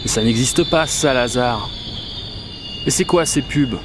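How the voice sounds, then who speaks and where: An anxious male commuter acted by a man rambles through a radio-play monologue in a mid-pitched voice. He questions himself conversationally, with an edge of desperation. Clear train noise runs behind him. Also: measured pace, lightly acted. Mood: confused, irritated. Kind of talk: first-person radio fiction monologue. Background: metro train ambience.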